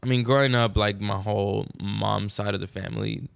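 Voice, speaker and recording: A man in his twenties from Venezuela talks casually as a podcast guest, rambling and slangy. His voice is low with plenty of creak, yet clean, and recorded dry. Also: fairly even intonation, brisk, dry recording. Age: 20s. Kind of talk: casual chat.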